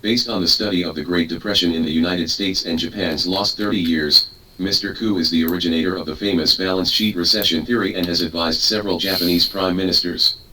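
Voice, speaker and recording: A man narrating a translated speech transcript in a formal, even reading voice. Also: sits low. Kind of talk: read-aloud speech transcript. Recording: distant mic with clear background noise.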